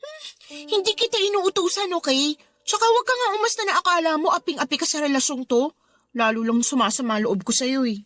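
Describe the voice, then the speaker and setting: A woman's voice: sits high, animated, fairly quick, a bit bright. A woman playing a needy, easily hurt young girlfriend who coaxes and reproaches in a Tagalog radio drama. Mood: hurt, defensive. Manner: coaxing, reproachful.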